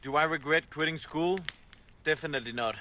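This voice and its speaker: A man's voice: on the high side, fairly quick, sharp tone. A man from Denmark, speaking in an earnest vlog testimonial, plain-spoken with small hesitations. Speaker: outdoor traveler in a clip.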